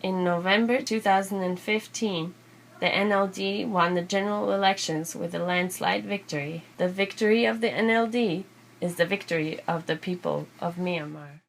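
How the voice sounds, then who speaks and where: A woman reading a scripted first-person biographical narration in a measured, simply phrased storytelling way. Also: on the low side, gravelly, slight background noise, poor audio. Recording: music behind the voice. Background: background music.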